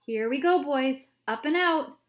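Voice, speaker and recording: Eccentric male candy maker, theatrical and gushing, higher and louder, read by a woman. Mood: exuberant. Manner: gushing, theatrical, rapid exclamations.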